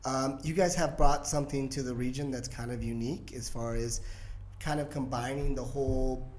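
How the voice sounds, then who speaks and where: A man's voice: medium pitch, fairly even intonation, moderate pace, darkish tone, a little hiss or hum. Casual male interview host. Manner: loose, lots of "kind of".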